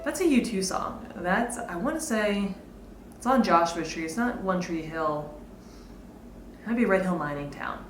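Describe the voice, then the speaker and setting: Low-voiced woman teaching guitar, roomy sound. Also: animated, moderate pace. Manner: casual, explanatory, thinking aloud. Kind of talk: informal instructional talk with demonstrations. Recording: roomy echo, guitar played alongside. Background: electric or acoustic guitar playing.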